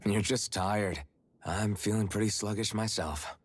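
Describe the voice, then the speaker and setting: An actor playing a calm, level-headed male warrior in a video game, plain and understated. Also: fairly low pitch, a little slow, breathy edge, dry recording. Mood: weary. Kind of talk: video game cutscene acting. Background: music.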